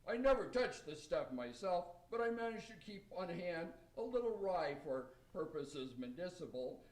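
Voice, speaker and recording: A man's voice: sits high, big pitch swings, moderate pace, a little mellow. A man playing a jolly, hospitable old Santa Claus with a hearty, rhyming delivery in amateur radio theater. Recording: distant, roomy live radio stage recording.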